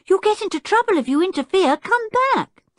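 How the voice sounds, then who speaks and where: A woman's voice: extremely high pitch, animated, brisk, no room sound, studio quality, pitched above the performer's own voice. A woman voicing a worried young girl calling out urgently in a children's storybook.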